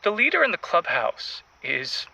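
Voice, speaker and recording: A man playing a pompous, florid writer calling a radio advice show, speaking in ornate phrases with theatrical pauses. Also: high-pitched, wildly swooping pitch, creaky, bright, forceful tone, full character voice, low-quality recording. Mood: pompous, earnest. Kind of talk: improvised comedy radio call-in.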